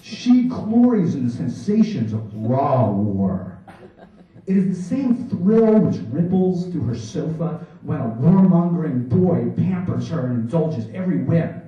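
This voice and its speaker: A man performs poetry on stage in a declamatory, mock-serious way, his fairly high voice swinging widely in pitch. The sound is distant and very echoey, like a theater captured from the seats. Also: sing-song, unhurried, dark tone. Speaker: poet reading on stage. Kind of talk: theatrical live poetry reading. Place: small theater in New York, before a laughing audience. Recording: echoey hall, distant dull microphone.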